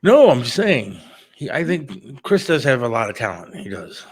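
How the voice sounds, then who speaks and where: A man's voice: mid-range voice, sing-song, normal speed, breathy edge. A man co-hosting a casual talk show, speaking in an earnest, slightly hesitant, peacemaking way.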